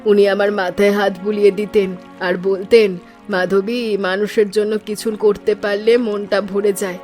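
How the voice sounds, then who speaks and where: A woman playing an affectionate middle-aged village aunt in an animated story, speaking tenderly with homely, fond scolding. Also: medium pitch, a little slow, faint music behind, lightly acted. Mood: nostalgic, tender.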